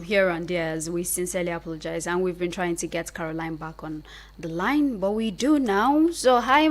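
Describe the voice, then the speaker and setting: A woman with a Nigerian accent hosts a live radio interview. She speaks at a medium pitch, in the manner of a studio presenter talking with a caller. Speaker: radio host.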